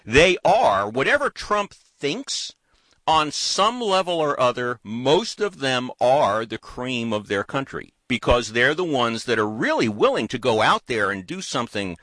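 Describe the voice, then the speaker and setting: A man in casual political chat, thoughtful and animated, talking in long winding runs. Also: medium pitch, a little slow, dry recording. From United States.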